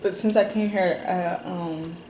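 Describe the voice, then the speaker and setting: A woman's voice: on the low side, fairly even intonation, measured pace, darkish tone. A woman from Jamaica chatting casually while eating, rambling with lots of fillers and telling stories. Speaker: mukbang host. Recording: roomy with faint noise.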